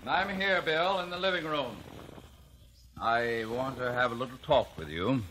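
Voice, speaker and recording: A man's voice: mid-range voice, animated, a little slow, pushed and bright. An actor playing a proud, stern middle-aged American father, formal and authoritative, in 1950s radio drama style. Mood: curt. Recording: noisy vintage radio recording.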